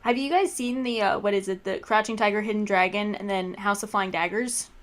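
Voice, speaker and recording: A woman's voice: medium pitch, fairly even intonation, brisk. A woman co-hosting a casual podcast who laughs along and keeps her replies short and easygoing. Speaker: podcast co-host.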